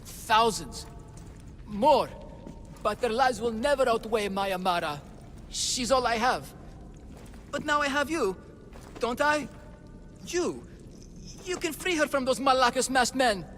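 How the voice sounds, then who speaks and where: A man's voice: very high voice, animated, a little slow, pauses often, a bit bright, a little hiss or hum, full character voice. A man playing an anxious, devoted father and bumbling would-be hero in a video game, with an earnest, theatrical manner. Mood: desperate, guilt-ridden, then pleading, hopeful. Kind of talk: video game cutscene acting. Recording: game music behind the voice.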